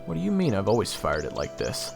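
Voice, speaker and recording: A man playing a cocky, grumbling young scout and would-be hero, sarcastic and excitable, in an audio drama. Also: fairly low pitch, fast, creaky, heavily acted. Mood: annoyed. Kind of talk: audio roleplay drama acting with narration. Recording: long reverb, music under the voice.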